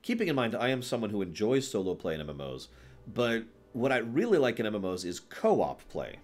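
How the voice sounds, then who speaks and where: Low-voiced man explaining games on a livestream. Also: wildly swooping pitch, brisk, darkish tone, soft background music. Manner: emphatic, explanatory, checks understanding with short tag questions. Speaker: livestream host. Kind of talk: casual livestream chat about video games.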